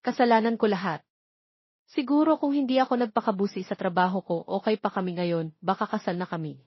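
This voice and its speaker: A woman's voice: medium pitch, a little fast, breathy edge, no echo, lo-fi sound, natural voice. A woman voicing a remorseful, heartbroken man with an apologetic, self-blaming manner in an audio romance. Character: remorseful, guilt-ridden man, a heartbroken lover. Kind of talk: dramatic audio romance acting.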